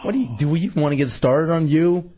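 Sick guy on a radio show, husky worn voice, jokey and sarcastic, dull lo-fi sound. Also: fairly high pitch, fast, dark tone. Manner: joking, sarcastic, rambling. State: sick, losing his voice. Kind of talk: casual on-air banter.

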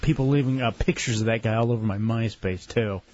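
A man hosting a late-night radio show, joking loudly and bantering with callers and co-hosts. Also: on the low side, a little fast, no echo, dull audio, faint music behind. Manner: loud, joking, animated. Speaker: radio host.

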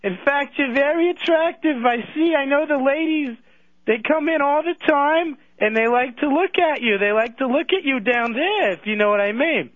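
A man's voice: extremely high pitch, dry recording, phone-quality sound. A man on a radio show voicing a fawning, dotty old male phone-in caller who rambles and coos. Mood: teasing. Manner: rambling, cooing and drawn-out.

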